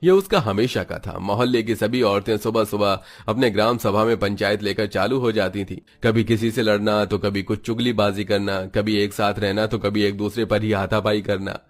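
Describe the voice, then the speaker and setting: A male storyteller with a slightly low voice. He delivers scripted narration for a cartoon story. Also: fairly even intonation, normal speed, hardly any pauses.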